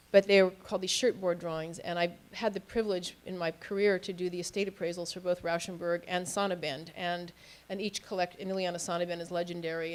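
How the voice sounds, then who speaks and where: Woman, panelist, informal anecdotal talk, narrow pitch range. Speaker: panelist, auction house specialist.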